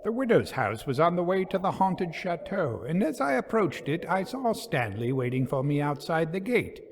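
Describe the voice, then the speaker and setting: A man reading a literary ghost story as a storyteller, in measured, dramatic, formal narration. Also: fairly high pitch, very animated, a little hiss or hum.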